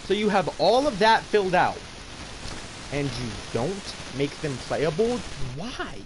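A man streaming a video game with casual, rambling, opinionated and self-deprecating commentary. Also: fairly high pitch. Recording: noisy gaming stream with game sounds. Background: video game ambience.

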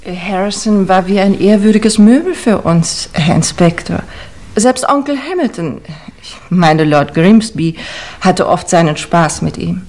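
An actress playing a poised, gossipy upper-class niece in a 1950s German radio crime play. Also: female voice, fairly low pitch, animated, a little slow, a little mellow. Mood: chatty.

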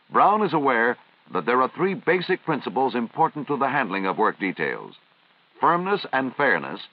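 An American man reading formal, measured, instructional narration in the style of a 1950s military training film. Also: mid-range voice, dry recording. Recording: dull, band-limited vintage film soundtrack.

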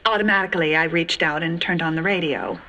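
A woman's voice: low-pitched, fast talker, bright, forceful tone, a little hiss or hum, poor audio. A woman in her thirties playing a prim, fussy 1930s lady giving hesitant, precise testimony. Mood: prim, flustered. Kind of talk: dramatized interview.

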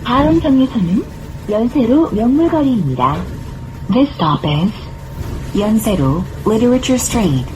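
A woman reading scripted bus stop announcements in a measured, formal manner. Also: a little high, very animated. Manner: measured, formal, clearly phrased. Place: played over speakers inside a moving bus. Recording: muffled recording with clear vehicle noise. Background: bus engine and road noise.

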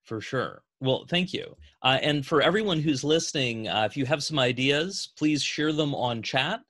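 A man hosting a business Q&A on a video call, speaking in a measured way with frequent fillers. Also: mid-range voice, expressive, fairly bright tone, dry recording, studio quality. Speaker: host of agency Q&A.

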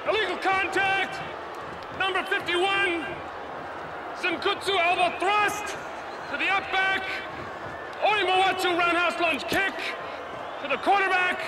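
A comic football referee bellowing penalty calls in a deadpan way, voice pitched high and strained. A big stadium crowd roars loudly around him, with heavy noise over the film clip. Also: male voice, measured pace, long pauses. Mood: announcing. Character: comic male referee calling fouls.

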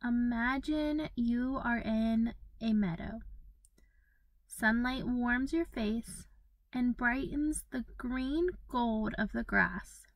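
A woman leading a guided meditation in a gentle, soothing manner, with pauses between phrases. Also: on the high side, no echo, rough recording.

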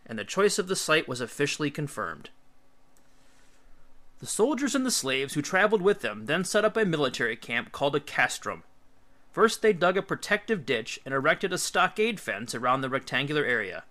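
A man's voice: a little high, bright, forceful tone. A man reading an educational history book aloud like an audiobook, as a teacher would, with emphatic, careful phrasing.

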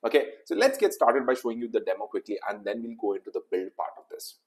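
A young man with an Indian English accent hosting a casual tutorial, talking quickly and loosely with lots of fillers. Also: mid-range voice, animated. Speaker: tutorial host. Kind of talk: casual screen-recorded tutorial. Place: indoor room.

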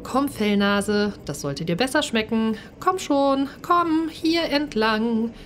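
A woman voicing an excitable, soft-hearted female spaceship pilot in an audio story, exclaiming and pleading. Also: on the high side, slow pace, a little hiss or hum, voice pushed up. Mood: coaxing.

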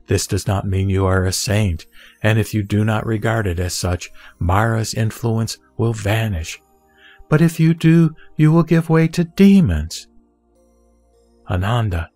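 Male scripture reader, fairly low voice, measured deliberate delivery over faint background music. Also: creaky. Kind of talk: reading a Buddhist sutra translation aloud. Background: soft background music.

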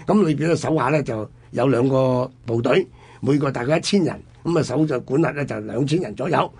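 A man's voice: mid-range voice, fairly quick, a little mellow, breathy edge. A man with a Hong Kong Cantonese accent telling history conversationally as a radio host, in an explanatory manner.

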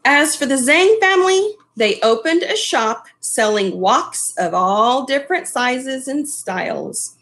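A middle-aged woman reading a picture book aloud expressively, in an unhurried way, with casual asides to young listeners. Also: sits high, expressive, a bit bright. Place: small furnished room at home, indoors. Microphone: webcam at desk distance.

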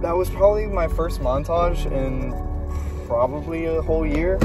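A man's voice: on the high side, moderate pace. A young man chatting casually in a vlog, rambling informally with some slang. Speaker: fitness vlogger. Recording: loud music under the voice. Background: music.

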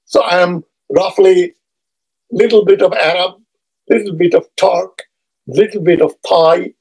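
A husky-voiced man in his seventies, with a voice that sits on the higher side for a man. His is Urdu, and he talks unhurriedly into a webcam from a home study, wandering between thoughts and filling pauses with "uh". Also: steady tone, a little mellow, low-quality recording. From Bangladesh. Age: 70s. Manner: measured, discursive, pausing often with "uh". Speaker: historian vlogger. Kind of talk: personal introduction lecture. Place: home study lined with bookshelves. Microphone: webcam at desk, seated medium shot.

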